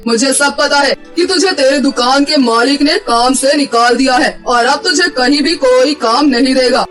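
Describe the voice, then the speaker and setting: A man's voice: very high-pitched, a bit bright. A man voicing a middle-aged landlord uncle in a cartoon story, speaking in an emphatic, familiar elder's manner. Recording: distant voice over faint music.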